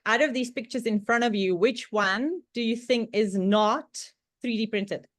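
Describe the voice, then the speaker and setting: A woman's voice: fairly high pitch, unhurried, no echo, studio quality. A woman from the Czech Republic with a Czech accent, presenting on a video call in a chatty way, with frequent laughs and many fillers. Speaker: guest presenter. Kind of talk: online club presentation.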